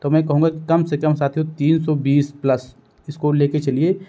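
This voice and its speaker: A man's voice: fairly high pitch, little pitch movement, moderate pace, warm tone. A man from northern India giving an informal, instructive exam-coaching lesson, often addressing his listeners directly as friends. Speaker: exam-coaching teacher and channel host. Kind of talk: informal strategy lesson to students. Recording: dull, lo-fi sound.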